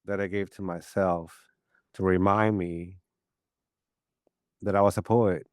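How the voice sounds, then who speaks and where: Deep smooth-voiced man. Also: measured pace, no room sound. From Peru. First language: Spanish. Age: 50s. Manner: rambling, animated storyteller with many restarts. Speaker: poet, podcast guest. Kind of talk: casual storytelling interview.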